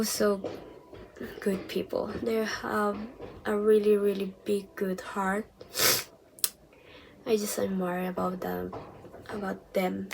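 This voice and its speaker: A young woman vlogging, telling a personal story casually, hesitant and full of restarts, dropping into soft whispered asides. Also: medium pitch, creaky, background noise.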